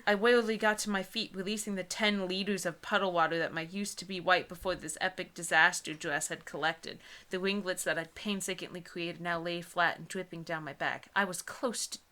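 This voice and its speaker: A woman's voice: lowish voice, fairly even intonation, fairly quick, few pauses, pushed and bright. A young adult woman co-hosting a dramatic book reading, mixing narration with sarcastic, exasperated casual commentary. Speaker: co-host reading bad books aloud.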